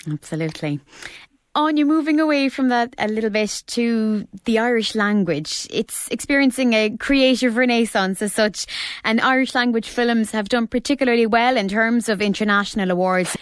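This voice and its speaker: A woman interviewer with a smooth, clear voice pitched a little high. She asks measured, formal questions. The sound is dry and close. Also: dry recording.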